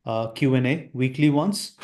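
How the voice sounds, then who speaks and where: A man's voice: mid-range voice, moderate pace, a little mellow. A man talking shop on a casual business call about his roofing agency. He hesitates often, trailing off with fillers and starting sentences over. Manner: hesitant, many fillers and restarts.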